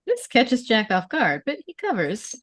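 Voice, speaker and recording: Measured woman reading stage directions, dry sound. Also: on the high side, huge pitch swings, fairly bright tone, dry recording. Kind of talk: scripted narration at a table read.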